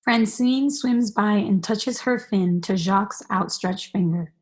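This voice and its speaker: A woman's voice: medium pitch, measured pace. A young woman reading stage directions as scripted narration for a radio play. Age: young adult.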